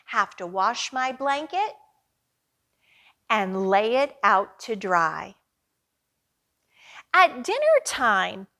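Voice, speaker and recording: A woman hosting a children's storytime, speaking clearly to young children with a sing-song delivery. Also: mid-range voice, bright, forceful tone. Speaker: children's library storytime host. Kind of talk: storytime hosting with a chanted action rhyme.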